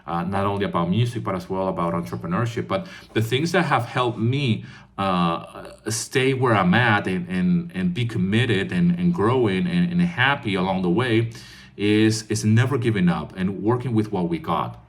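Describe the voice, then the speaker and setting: Earnest low-voiced man in his fifties. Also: normal speed. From Venezuela. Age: 50s. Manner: earnest, repetitive restarts, frequent "right?" tags. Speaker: composer and online music educator. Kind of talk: casual talking-head vlog. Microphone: phone recording.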